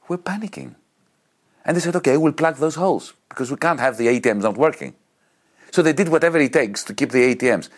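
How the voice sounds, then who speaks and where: A man with a Greek accent arguing politics in an interview, emphatic and animated, piling up examples. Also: mid-range voice. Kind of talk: impassioned political argument.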